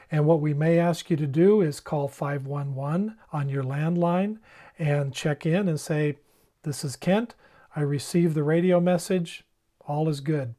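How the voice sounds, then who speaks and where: A man's voice: on the high side, mellow. A man giving an informal, plain-spoken instructional explanation, step by step, as a presenter. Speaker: presenter demonstrating emergency radios.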